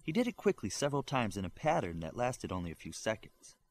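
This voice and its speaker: Man narrating fiction with varied pitch. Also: sing-song, fast talker. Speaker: audiobook reader.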